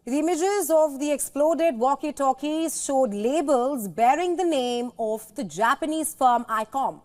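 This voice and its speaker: A woman with a notably high voice works as a news presenter. She reads scripted reports in the formal style of a news bulletin.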